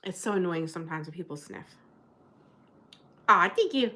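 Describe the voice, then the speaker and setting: A woman's voice: expressive, moderate pace, pushed and bright. A woman from New Jersey with a Jersey accent, casually chatting on a livestream from her apartment desk and reacting to viewer comments, her nose running from a cold. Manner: chatty, rambling, reacts to chat with sudden high exclamations. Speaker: livestream host.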